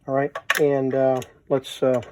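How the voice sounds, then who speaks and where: A man's voice: medium pitch, monotone, measured pace, noisy background. A man giving a casual, unscripted hands-on demonstration, thinking aloud as he works as a hobbyist presenter. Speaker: vintage tape recorder hobbyist, presenter.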